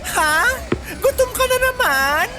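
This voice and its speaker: A woman's voice: very high voice, big pitch swings, slow, exaggerated voice. A woman playing a teasing, nagging, easily exasperated friend in Tagalog radio drama dialogue. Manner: teasing, exclamatory. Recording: loud music bed under voice.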